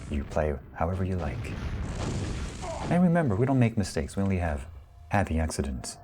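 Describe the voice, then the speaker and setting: A man casting a competitive video game match solo, chatty and relaxed, often correcting himself. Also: on the low side. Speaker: esports caster. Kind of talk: solo play-by-play commentary.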